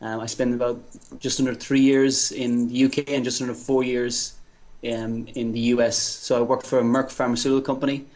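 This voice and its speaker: Flat-voiced Irish man on a call. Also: medium pitch. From Ireland. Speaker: guest, lean coach. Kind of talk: interview over Skype. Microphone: Skype call.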